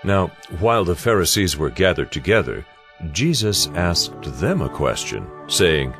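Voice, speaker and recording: A man narrating a dramatized Bible story in a solemn, measured, scripted style. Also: sits low, very animated, over music, heavily acted.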